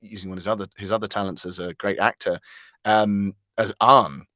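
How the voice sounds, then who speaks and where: A British man giving a conversational interview as a voice actor, telling anecdotes in an animated, halting way with self-corrections. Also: fairly low pitch, no echo, studio quality.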